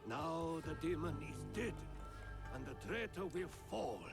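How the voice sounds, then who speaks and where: Give me a stern old warlord facing his enemies. His voice is gravelly and a little higher than most men's, and his pitch swings widely. He speaks with cold contempt, and game music runs behind him. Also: animated, unhurried. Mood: contemptuous. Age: older. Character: old male clan leader, proud and defiant.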